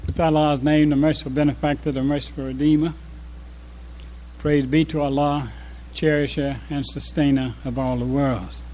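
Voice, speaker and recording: An older man with a voice a little higher than average gives an informal address as a former imam. He reminisces reflectively to a congregation. His delivery is halting, often pausing partway through a thought. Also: flat delivery, warm tone, slight background noise, low-quality recording. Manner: halting, reflective, with pauses mid-sentence.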